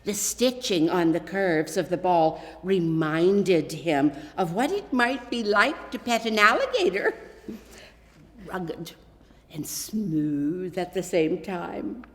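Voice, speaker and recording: A woman reading a children's Christmas story aloud as scripted narration, in an expressive, deliberate way with dramatic pauses. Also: lowish voice. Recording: echoey hall with long reverb.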